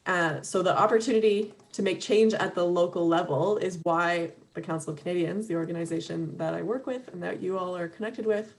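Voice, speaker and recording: A woman's voice: lowish voice, steady tone, fairly quick, hardly any pauses, rough recording. A woman giving an earnest, conversational webinar talk over a video call, full of fillers and restarts, her voice a little worn. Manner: earnest, many fillers and restarts, laughs lightly between points. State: slightly losing her voice. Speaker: webinar speaker for an advocacy organization.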